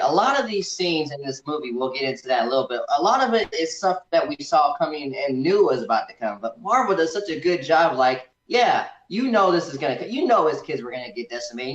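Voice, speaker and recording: A man in a casual film discussion, animatedly acting out imagined remarks as he reviews. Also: on the high side. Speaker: guest co-reviewer on a movie discussion. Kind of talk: casual chat. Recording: echoey room, dull lo-fi sound.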